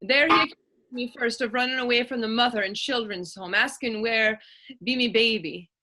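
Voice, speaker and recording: A woman's voice: on the high side, normal speed, very noisy, natural voice. A woman playing a tough, proud teenage Irish orphan turned actress in a readers theatre drama, telling her story in lilting old-fashioned idiom with an Irish accent. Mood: indignant storytelling. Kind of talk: stage readers theatre acting in dialect.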